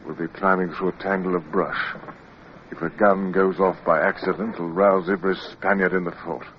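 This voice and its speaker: An actor playing a steady, plain-spoken British naval lieutenant in command, in a radio drama. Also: male voice, low-pitched, moderate pace, lightly acted. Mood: steady, wary. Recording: muffled radio recording, faint noise.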